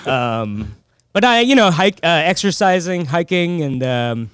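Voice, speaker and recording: Male comedian, slightly high voice, casual banter, joking guest, dry close recording. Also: sing-song, measured pace, a bit bright, clean tone, no room sound. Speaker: comedian guest on a radio show. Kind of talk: casual banter with stand-up jokes.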